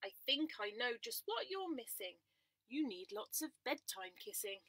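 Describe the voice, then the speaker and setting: A woman's voice: fairly high pitch, very animated, unhurried, a bit bright, dry recording, heavily acted. A woman voicing a playful, fussy female cat in a sing-song way. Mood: coy playful.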